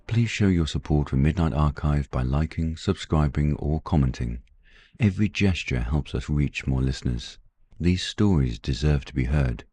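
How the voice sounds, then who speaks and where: A man with a husky voice delivering a scripted late-night podcast introduction as host. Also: extremely low pitch, lively intonation, moderate pace, dark tone, dry recording.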